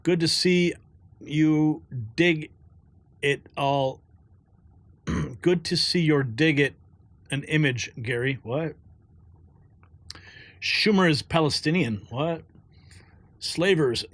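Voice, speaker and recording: A man hosting a live news stream in a loose, chatty way, reading out viewer comments and laughing often. His voice sits a little high for a man. The sound is dry and close, with no room echo. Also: fairly bright tone. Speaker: news show host.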